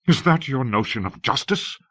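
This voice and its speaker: A man's voice: low voice, expressive, normal speed, fairly bright tone, no echo. A man voicing a stern, principled lord and counsellor in an audiobook, formal and direct. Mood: indignant. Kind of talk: audiobook character acting.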